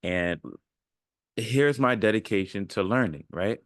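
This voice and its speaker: Low-voiced man in his thirties, casual motivational monologue. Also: unhurried, no room sound, clean studio sound. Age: 30s. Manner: informal, slangy, emphatic repetition. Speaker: self-improvement content creator. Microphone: large microphone up close.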